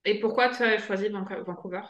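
A woman's voice: mid-range voice, fast, a bit bright, highs cut off. A woman hosting a business podcast, welcoming her guest and asking brief, direct questions. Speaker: podcast host, marketing advisor.

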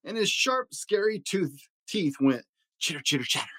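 Expressive man reading a children's story. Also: a little high, moderate pace, no echo. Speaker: story reader. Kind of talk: scripted children's picture book narration.

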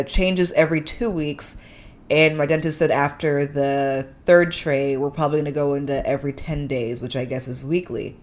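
A woman hosting a podcast in a casual, slangy, conversational monologue, speaking with a slight lisp. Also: low voice. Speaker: podcast host.

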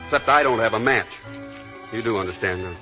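A man's voice: on the low side, fast talker, lightly acted. An actor playing a restless, self-mocking young man with a glib, teasing way of talking, in a 1940s radio drama. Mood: bitter, self-mocking. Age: young adult. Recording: vintage 1940s radio broadcast, music behind.

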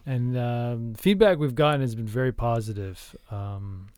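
A man with a clear, resonant voice and a middle pitch speaks as a podcast co-host. His delivery is earnest and deadpan, with halting stops and starts, as he plays comedy straight. The sound is dry, with no room echo. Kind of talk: deadpan comedic screenwriting talk.

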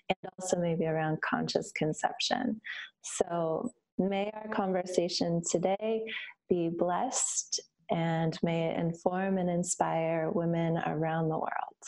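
A woman hosting an interview, speaking gently and earnestly, opening with blessing-like wishes. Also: on the low side, rough recording. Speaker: interviewer, host.

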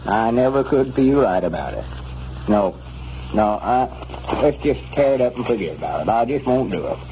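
An actor playing a conscientious, folksy rural justice of the peace in a 1930s radio comedy, with a rural Arkansas accent and a deliberate, muttering manner. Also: male voice, lowish voice, sing-song, dark tone. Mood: troubled, reluctant. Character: hesitant, conscientious rural justice of the peace, middle-aged or older. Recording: muffled, noisy 1930s radio recording.

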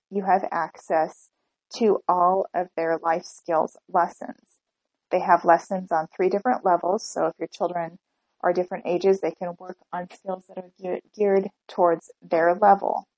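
A woman's voice: lowish voice, fairly even intonation, measured pace, a little mellow, dry recording, rough recording. A middle-aged woman hosting her own podcast, talking earnestly and chattily while getting over a cold, with small restarts and ums. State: has a cold. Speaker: podcast host. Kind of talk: solo podcast talk.

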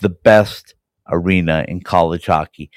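A man hosting a college hockey podcast, hoarse and raspy from a cold, talking enthusiastically and rambling with fillers and restarts. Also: sits low, fairly even intonation, unhurried, no echo, clean studio sound. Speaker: podcast host. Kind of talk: casual sports chat.

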